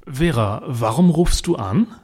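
A man's voice: medium pitch, animated, unhurried, darkish tone, slightly rough. An actor playing an evasive, coolly defensive male singer-songwriter turned informer in a radio play phone call. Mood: evasively polite.

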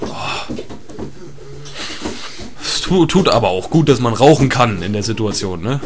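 A naive, chatty tourist, acted by a man, speaks at a middling pitch. He is hesitant and repeats himself, with little tag questions, over clear background noise with birds and clicks. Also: lively intonation, a little slow. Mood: placid, chatty. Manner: hesitant, repetitive, keeps adding tag questions. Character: chatty, naive male tourist, placidly captured. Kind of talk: improvised absurd comedy audio play.

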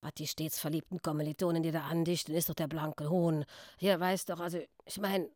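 Woman as disapproving, halting student friend. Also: low voice, flat delivery, a little mellow, dry recording, clean studio sound, lightly acted. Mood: flustered. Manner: halting, self-interrupting. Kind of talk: German radio play acting.

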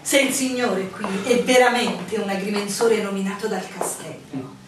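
A woman's voice: medium pitch, moderate pace, close to an everyday voice. An actress playing a courteous, inquisitive woman who works at a village inn, in a theatrical radio drama. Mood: courteous, practical. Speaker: stage and radio actor. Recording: echoey room, distant mic, clear noise.

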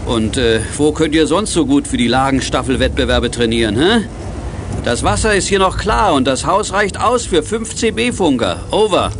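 A man's voice: a little high, lively intonation, moderate pace, background noise. An actor playing a genial, easygoing middle-aged father in a children's radio play, speaking in a jovial, reassuring way. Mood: cheerful, reassuring. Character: genial, easygoing father leading a group of kids.

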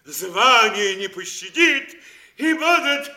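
An actor playing a blustering, furious middle-aged town governor who has been duped, ranting theatrically in an audio stage play. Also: male voice, extremely high pitch, animated, takes it slowly, pushed and bright, heavily acted. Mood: bitter ranting. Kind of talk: classic Russian audio stage play acting. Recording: roomy, echoing stage-play recording.

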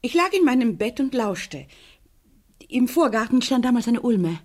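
A woman playing a sad, guarded widow in a German radio crime drama, speaking gently in a hushed, hesitant, measured way. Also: a little high, very animated. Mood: haunted recollection.

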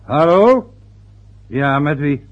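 An actor playing a fretful, rambling father turning sixty in an old radio play, with a husky voice. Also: fairly high pitch, lively intonation, very slow, full character voice. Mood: cheerful. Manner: rambling, loses his thread. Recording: phone-like lo-fi old radio recording.

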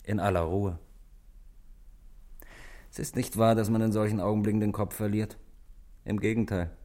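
An actor playing a calm, weary man confessing to murder in a 1960s German radio drama, measured and wryly resigned. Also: on the low side, close to an everyday voice.

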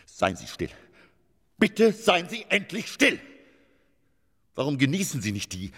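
Husky, wildly theatrical stage drunkard. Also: male voice, a little high, huge pitch swings, measured pace, fairly bright tone, exaggerated voice. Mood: furious, then grandiose. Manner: declamatory, pompous, swinging from murmur to outburst. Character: vain, hard-drinking stage actor, wounded and theatrical. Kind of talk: German radio drama acting. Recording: long reverberant hall.

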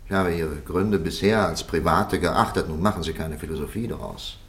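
An actor playing a stern, polished interrogator in a 1950s German radio play, formal, measured and insistent. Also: male voice, sits low, fairly bright tone. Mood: weary pleading. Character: stern, polished male interrogator pressing a silent prisoner.

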